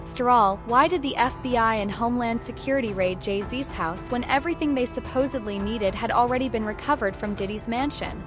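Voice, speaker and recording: Higher-pitched woman narrating news. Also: a little fast, hardly any pauses, music in the background. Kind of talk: scripted news-style narration.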